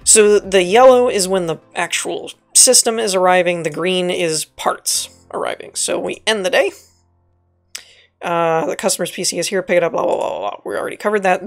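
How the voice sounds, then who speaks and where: A woman with a raspy, dry voice giving casual let's play commentary, reading game text aloud and correcting herself as she goes. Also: lowish voice. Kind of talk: casual gameplay tutorial commentary.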